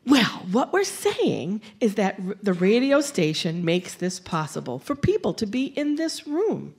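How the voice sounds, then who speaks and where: A woman speaks for a community radio station at a live stage show. Her voice sits at a middle pitch as she makes a fundraising appeal. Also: big pitch swings, measured pace, darkish tone, breathy edge.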